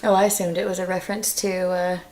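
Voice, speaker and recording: A woman's voice: lowish voice, flat delivery, quick pace, darkish tone. A woman on a podcast panel, speaking in casual banter.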